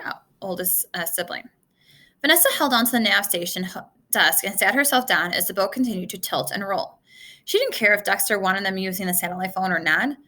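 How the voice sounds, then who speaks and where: Bright, animated woman reading a story aloud. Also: mid-range voice. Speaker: storybook reader. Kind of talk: read-aloud narration of a children's adventure novel.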